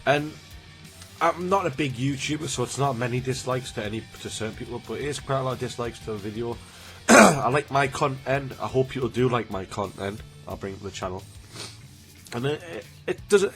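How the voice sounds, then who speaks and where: A man's voice: medium pitch, animated, a little fast, a bit bright, faint music behind. A man, chatting casually to camera as a YouTuber, rambling and restarting, a bit snotty from a cold. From Newcastle, England. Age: 20s. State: has a cold, slightly snotty.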